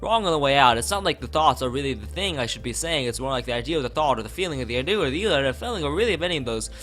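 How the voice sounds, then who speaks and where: A man voicing a strange, timid teenage schoolgirl in a visual novel, rambling in halting, muddled run-on sentences. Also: medium pitch, animated, a bit bright, faint music behind, lightly acted. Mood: nervous rambling.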